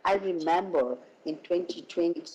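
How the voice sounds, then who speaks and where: A woman with a husky voice, hoarse from a cold, giving a halting academic keynote over an online call. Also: on the low side, warm tone, lo-fi sound. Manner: halting, with many fillers and restarts. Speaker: keynote speaker.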